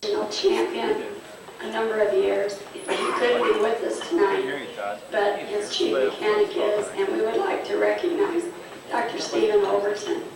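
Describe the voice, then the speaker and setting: A hoarse woman presenting awards at a fan club banquet, chatting informally and a little haltingly to the crowd. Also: mid-range voice, level tone. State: losing her voice, hoarse. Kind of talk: speaking to a banquet crowd over a PA. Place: large banquet hall. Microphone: PA microphone recorded from a distance. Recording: distant echoey banquet hall.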